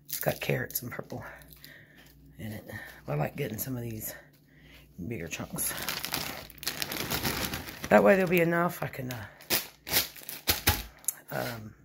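A middle-aged woman with a twang and a low, gravelly voice that swings up and down a lot. She chats in a folksy way to her viewers while she works in a small home kitchen. The phone recording carries a clear hiss underneath. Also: lively intonation, measured pace, long pauses. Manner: chatty, folksy, talks to viewers while cooking. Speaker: vlogger. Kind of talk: casual home vlog narration. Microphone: handheld phone camera.

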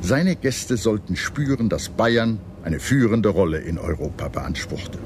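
Male history narrator, low register, measured formal scripted read, noisy background. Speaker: documentary narrator.

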